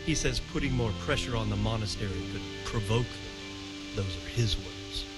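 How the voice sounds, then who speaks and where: Guy playing a suspicious medieval lord in a game, measured and commanding, music behind him. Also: fairly low pitch, close to an everyday voice. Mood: cynical. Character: troubled medieval lord, wary, suspicious of profiteering. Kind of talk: cinematic game dialogue.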